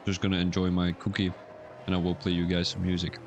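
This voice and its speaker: A young man giving casual livestream commentary on a sim racing league, chatting loosely and then getting excited when calling incidents. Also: low voice, quick pace, a little hiss or hum. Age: young adult.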